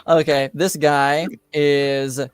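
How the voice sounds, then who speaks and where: A man running a tabletop roleplaying game as game master, narrating and making rulings in a halting, hesitant way. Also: fairly high pitch, little pitch movement, clean tone, no echo. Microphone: home microphone on an online call.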